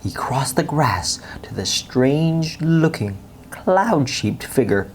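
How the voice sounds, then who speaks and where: A woman's voice: rumbling low, big pitch swings, slow pace, a little mellow, slight background noise. A woman reading a children's bedtime story aloud as storyteller.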